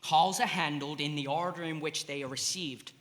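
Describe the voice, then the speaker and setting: A man plays a rule-bound army switchboard soldier, his fairly high voice bright and forward. He speaks in clipped, procedural phrases, and the stage hall echoes around him. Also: steady tone, moderate pace, lightly acted. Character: dry, by-the-book male army switchboard soldier.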